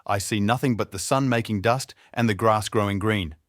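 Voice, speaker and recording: A man's voice: lowish voice, moderate pace, dry recording, clean studio sound, close to an everyday voice. A man voicing a watchful, loyal sister in a fairy tale, calling out in formal, archaic phrases. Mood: watchful, anxious. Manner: formal archaic lookout calls. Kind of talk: storybook character voice in narrated fairy tale.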